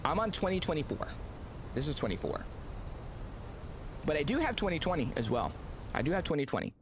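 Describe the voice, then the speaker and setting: A man commentates his flight-sim session in loose, rambling chat full of fillers. His pitch swings up and down as he talks. A steady engine-like rumble sits under the whole recording. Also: a little fast. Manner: rambling, filler-heavy, excitable. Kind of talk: casual gameplay commentary.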